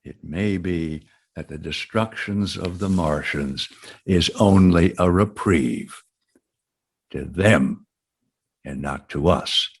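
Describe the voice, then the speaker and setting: Brief: a deep, husky professor narrator, slow and grave, radio drama. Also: male voice, heavily acted. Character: male professor narrator, somber and reflective.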